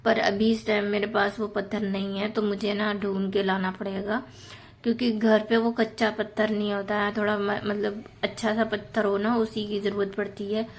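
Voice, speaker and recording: A woman in her thirties with a hoarse, sore throat, chatting casually to viewers about her housework in a voice-over. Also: mid-range voice, flat delivery, normal speed. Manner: chatty, many fillers, addresses viewers as friends. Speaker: home vlogger.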